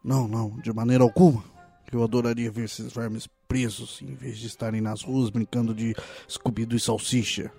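A man playing a stern, commanding tiger police detective in a comedic audio drama, speaking formally and deliberately. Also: mid-range voice, level tone, vocal fry, warm tone, breathy edge, soft background music. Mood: guarded.

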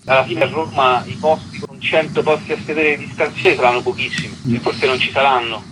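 A man's voice: medium pitch, steady tone, fast, background noise. A man being interviewed casually as a writer and stage actor, hesitant and often repeating words as he thinks.

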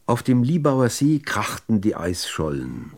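A man reading literary prose as a radio narrator, in a measured, deliberate delivery with dramatic pauses. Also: on the low side, very animated, a little mellow.

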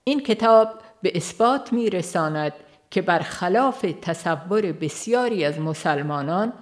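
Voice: medium pitch, lively intonation. An audiobook reader, giving a scripted narration of a religious book.

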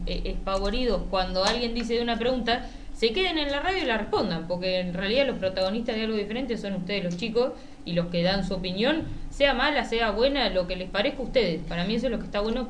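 A woman's voice: fairly high pitch, lively intonation, quick pace, few pauses. A woman with an Argentine accent giving her opinion informally in a radio discussion, encouraging and outspoken. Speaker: radio programme contributor. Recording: noisy, echoey room.

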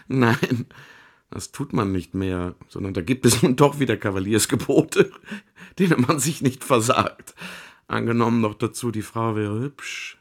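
An actor playing a troubled, self-justifying artist and ladies' man in a radio play, confiding in a halting, theatrical way. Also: on the low side, gravelly. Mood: agitated, defensive.

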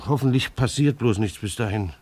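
An actor playing a dutiful, stubborn bailiff in a radio drama, earnest and insistent, with a German accent. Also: male voice, mid-range voice, fairly quick, darkish tone, natural voice. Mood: indignant. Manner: earnest, insistent, repeats himself for emphasis. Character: dutiful, stubborn male bailiff, proud petty official.

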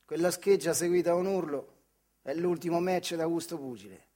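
A man's voice: a little high, fairly quick, mellow. A man with a central Italian accent from the Marche tells a story as a theatrical monologue in colloquial, emphatic short phrases. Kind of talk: dramatic theatrical storytelling in dialect.

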